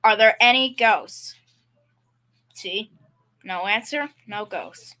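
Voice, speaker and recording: A girl playing a blustering, bright-voiced bumbling dad. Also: medium pitch, slow. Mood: scoffing, insistent. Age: young teen. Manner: colloquial, folksy bad grammar. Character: bumbling, blustering middle-aged working-class dad, confidently clueless. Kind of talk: school performance of old-time radio comedy.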